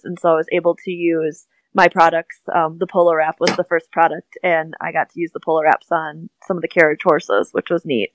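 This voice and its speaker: A woman's voice: on the low side, no echo, low-quality recording. A woman in her thirties from Wisconsin with a Midwestern accent, chatting as a guest on an interview podcast, laughing often and using plenty of "um" fillers. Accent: Midwestern American (Wisconsin). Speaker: podcast guest, small business owner. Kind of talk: casual interview chat.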